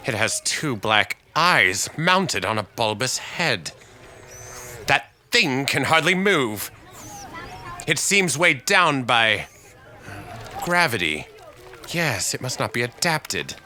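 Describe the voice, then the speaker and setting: An actor playing a grave, awed scientist with a deliberate, formal, dramatic manner in an old-style radio play. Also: male voice, medium pitch, big pitch swings, sharp tone, slight background noise, exaggerated voice. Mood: tense fascination.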